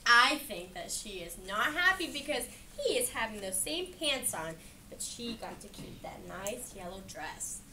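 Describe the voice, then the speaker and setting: A woman's voice: a little high, very animated, measured pace. A woman reading a picture book aloud interactively to children, asking questions and affirming their answers as she goes. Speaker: read-aloud educator. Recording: distant mic in room with background noise.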